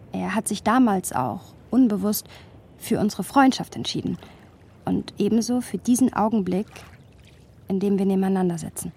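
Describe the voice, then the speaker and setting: A woman's voice: mid-range voice, dark tone, husky. An actress playing a reflective, bookish woman who narrates her close friendship in a literary radio drama.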